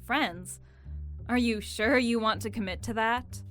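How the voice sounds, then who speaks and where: Casting: actress as wary, wry female schemer for audio drama, higher bright voice, dramatic and distrustful. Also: unhurried, soft background music. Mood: guarded, bitter.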